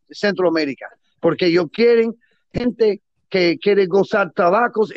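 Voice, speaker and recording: A middle-aged man who is not a native Spanish speaker gives closing remarks as a guest, talking with eager enthusiasm in halting Spanish full of grammar slips. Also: high-pitched, dry recording, highs cut off. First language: not Spanish; Spanish is his second or third language. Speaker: guest, cigar businessman. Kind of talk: closing remarks in a casual interview.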